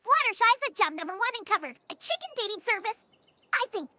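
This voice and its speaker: A synthetic female voice playing an excitable young pony detective in a fan cartoon audio drama, chirpy and self-important. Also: extremely high pitch, a little fast, heavy background noise. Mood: secretive, eager. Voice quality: synthetic cartoonish text-to-speech voice.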